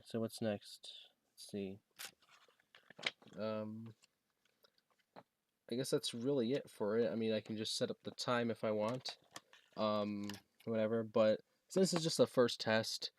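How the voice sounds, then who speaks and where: A man's voice: on the low side, steady tone, a little hiss or hum. Hesitant man giving a casual gadget demo. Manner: halting, tentative, thinking aloud. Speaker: product reviewer demonstrating a gadget. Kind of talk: casual unscripted unboxing and setup demo.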